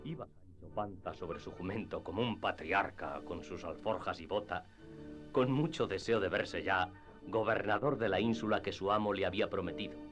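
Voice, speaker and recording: A man narrating a literary radio drama in a measured storytelling manner. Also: deep voice, close to an everyday voice. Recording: slightly dull radio drama with music.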